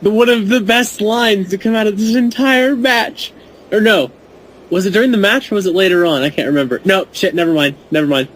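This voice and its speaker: A man's voice: high voice, brisk, fairly bright tone. A man chatting casually as a panelist on a podcast. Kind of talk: casual chat with interjections.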